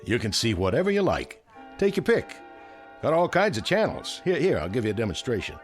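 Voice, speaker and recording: An actor playing a folksy, obliging big-city shopkeeper in a radio drama, chatty and colloquial. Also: male voice, mid-range voice, big pitch swings, a little fast, faint music behind, close to an everyday voice.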